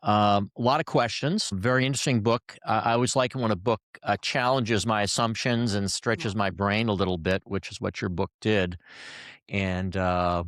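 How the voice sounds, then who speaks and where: A man's voice: lowish voice, fairly even intonation, normal speed, a bit bright, no room sound, studio quality. A man who hosts a podcast and works as a doctor, talking to his guest in a friendly, conversational way with frequent fillers. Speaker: podcast host and physician. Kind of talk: podcast interview.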